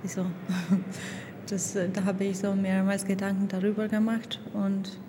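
Soft-spoken young woman, flat delivery. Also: medium pitch, normal speed. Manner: soft-spoken, hesitant, searching for words. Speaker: art student interviewee. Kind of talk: casual interview answers. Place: basement exhibition room. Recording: noisy background.

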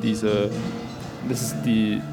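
A male architecture student explaining his class project in hesitant, halting German at an exhibition. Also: fairly low pitch, flat delivery. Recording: music playing in background.

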